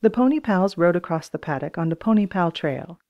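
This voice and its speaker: A woman narrating a children's storybook audiobook in an expressive, acted-out reading style. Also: on the low side, brisk, muffled recording. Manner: expressive, acts out dialogue in raised voices.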